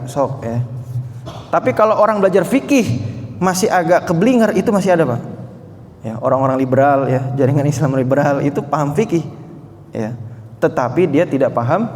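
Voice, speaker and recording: Guy preaching islam, kinda high voice, emphatic teacher style, long echo like a big hall. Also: darkish tone. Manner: emphatic, didactic, quoting Arabic scripture. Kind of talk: religious lecture to a live audience, with storytelling and questions to listeners. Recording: reverberant hall sound.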